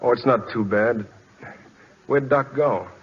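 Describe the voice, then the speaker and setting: A man with an American accent playing a modest, educated teacher turned pitcher in radio drama, polite and hesitant. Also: slow, a little hiss or hum, natural voice. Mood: uneasy. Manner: polite, hesitant, well-spoken. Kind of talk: 1940s radio drama acting. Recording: dull old radio recording.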